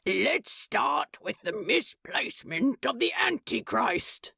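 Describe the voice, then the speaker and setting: A woman voicing a calm, scaly demon case manager in a fantasy story, with a gravelly, husky, patient delivery. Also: fairly high pitch, no echo, studio quality, pitched above the performer's own voice, full character voice. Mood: patient, soothing. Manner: slow, patient, unhurried official.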